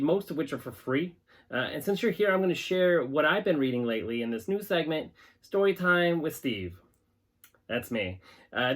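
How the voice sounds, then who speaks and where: A man hosting a hobby channel, talking to viewers and reading aloud from written material. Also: a little high. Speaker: host of a reptile-keeping channel. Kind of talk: casual talk to viewers and reading a scientific paper aloud.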